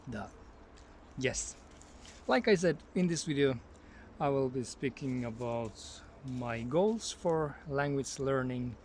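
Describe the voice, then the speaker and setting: Finnish-accented man, relaxed vlog talk in a forest, wandering train of thought, faint outdoor sounds. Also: medium pitch, takes it slowly, slight background noise. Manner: rambling, thinks aloud, easily sidetracked. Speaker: language learner vlogger. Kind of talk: casual talk to camera.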